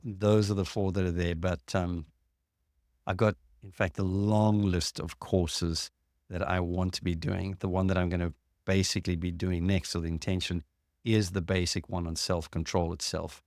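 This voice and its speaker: A man hosting a podcast and explaining things in a measured, casual way, with frequent ums and uhs. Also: low-pitched, dry recording, clean studio sound. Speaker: podcast host and clinician.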